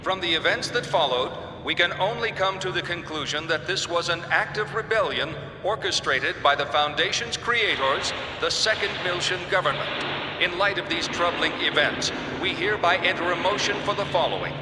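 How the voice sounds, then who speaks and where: A man's voice: high voice, expressive, normal speed. A man voicing an accusatory senator in a video game, formal and oratorical, as if moving a motion. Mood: grave, accusing. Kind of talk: formal speech in game cutscene. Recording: noisy echoey chamber, long reverb.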